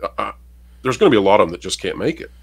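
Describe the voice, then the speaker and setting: A man's voice: lowish voice, very animated, racing, gravelly, husky. A man co-hosting a casual commentary show, reading out an article and giving emphatic opinions to viewers. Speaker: podcast co-host.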